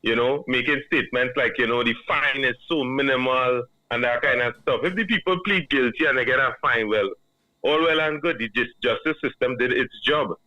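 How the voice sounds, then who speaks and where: A man with a Trinidadian accent, calling in to a radio show and giving a measured, earnest opinion. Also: medium pitch, no room sound. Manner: measured, earnest, reasoning aloud. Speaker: caller. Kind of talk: call-in radio commentary. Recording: dull, dry phone line.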